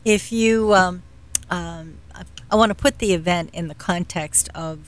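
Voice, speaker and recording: A middle-aged woman speaking as an interview guest, explaining things in a measured way and pausing to search for words. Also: on the low side. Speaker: guest, philanthropy executive. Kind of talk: explanatory radio interview talk.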